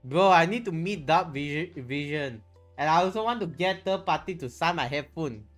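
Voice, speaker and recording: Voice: medium pitch, animated, normal speed. A livestreamer with a Malaysian accent, chatting casually in a rambling way and often restarting phrases. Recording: music playing behind the voice. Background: background music.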